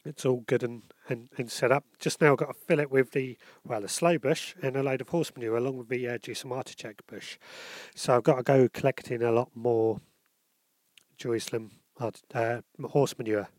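A man's voice: medium pitch, steady tone, brisk, a little mellow, dry recording. A man with a bunged-up cold, hosting a casual solo podcast and rambling with lots of ums and self-corrections. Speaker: podcast host.

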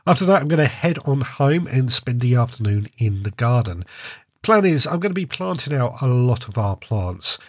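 A man's voice: medium pitch, sing-song, normal speed, a little mellow, dry recording, studio quality. Congested man hosting a podcast. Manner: chatty and rambling, often restarts phrases. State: congested, stuffy nose and sore throat. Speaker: podcast host. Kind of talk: casual solo podcast monologue.

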